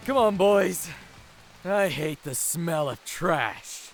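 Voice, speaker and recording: Audio drama villain, cruel young bully, high-pitched, wildly swooping delivery, sneering, hot-tempered, loud music underneath. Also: male voice, creaky, heavily acted. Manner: sneering, explosive, drawn-out shouted taunts.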